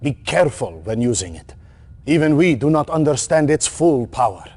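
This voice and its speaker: A man's voice: medium pitch, very animated, moderate pace, darkish tone. An actor playing a cautious, earnest military man speaking formally in a game cutscene. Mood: grave warning. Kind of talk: dramatic video game cutscene acting.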